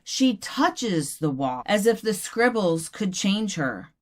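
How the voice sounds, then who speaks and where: A woman voicing an earnest doll poet, reciting poems in a measured, deliberate way. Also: mid-range voice, big pitch swings. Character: earnest female doll poet giving a reading. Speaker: poet reading own work. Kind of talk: poetry recitation.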